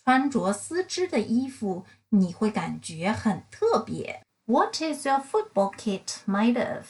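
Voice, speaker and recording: A woman's voice: a little high, big pitch swings. A woman reading a children's science picture book aloud as a narrator, enunciating carefully. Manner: slow, careful enunciation, bilingual English and Mandarin.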